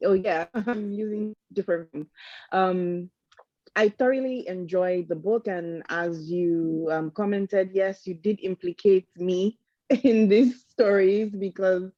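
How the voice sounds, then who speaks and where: A woman with a warm, mellow voice puts her question to the author politely and hesitantly, with many pauses. The sound is dry and slightly muffled, as on a video call. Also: mid-range voice, no echo. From Nigeria. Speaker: book club member. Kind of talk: asking a question in a book chat.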